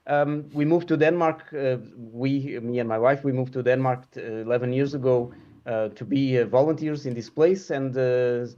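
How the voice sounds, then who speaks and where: A man from Portugal, a guest printmaker, talking casually in an interview and pausing often with fillers and restarts. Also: medium pitch, dark tone, highs cut off. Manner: halting, many fillers and restarts.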